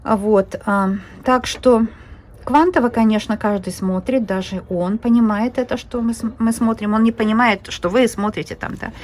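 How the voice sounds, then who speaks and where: A woman's voice: on the high side, moderate pace, a little mellow. Chatty woman doing a tarot reading. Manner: rambling, chatty, with many fillers and restarts; addresses viewers directly as friends and girls. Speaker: tarot reader. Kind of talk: casual tarot reading monologue.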